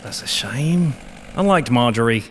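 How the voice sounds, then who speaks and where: An actor playing a calm, quietly sinister caretaker giving a tour. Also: male voice, medium pitch, measured pace, slightly rough, in a large hall. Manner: calm, matter-of-fact.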